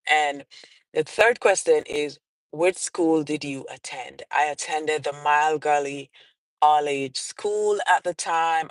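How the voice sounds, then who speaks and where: A woman's voice: deep voice, dry recording. A Jamaican woman author answering viewer questions on a casual livestream, repeating phrases as she goes and greeting viewers. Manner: slow and unhurried, repeats and restarts phrases, greets live viewers.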